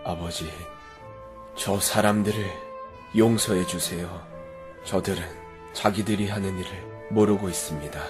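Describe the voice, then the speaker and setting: A man playing a calm, merciful, unshaken holy figure on trial, in solemn measured phrases, in a children's Bible story drama. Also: low voice, over music, lightly acted. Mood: pleading, merciful.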